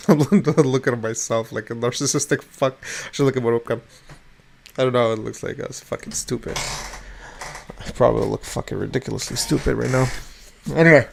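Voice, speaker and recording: A man's voice: mid-range voice, moderate pace, gravelly, pauses often, slight background noise. Rambling, swearing man at his webcam. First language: not English. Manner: rambling, swears often, many restarts. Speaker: vlogger. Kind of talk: rambling personal rant. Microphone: webcam at desk.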